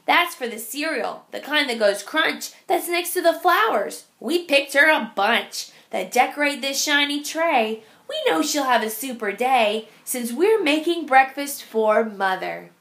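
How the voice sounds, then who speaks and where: A woman with a high voice reads a picture book to small children. Her delivery is very slow and sing-song, with a noticeable creak in the voice. She places each phrase deliberately, as a teacher would. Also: expressive, fairly bright tone. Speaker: teacher reading aloud. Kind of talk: picture-book read-aloud for young children.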